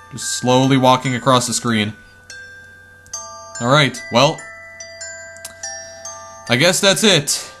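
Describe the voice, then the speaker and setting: Chatty, slightly hoarse male gamer. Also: medium pitch, fairly bright tone. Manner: chatty, easily startled, lots of exclamations. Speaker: let's play gamer. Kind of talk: casual game commentary.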